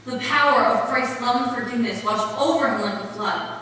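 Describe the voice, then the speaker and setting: A woman's voice: on the high side, brisk, sharp tone. A woman playing a solemn missionary storyteller in a dramatic stage recital. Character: solemn missionary woman recounting faith and forgiveness. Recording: very echoey hall from far off, faint noise.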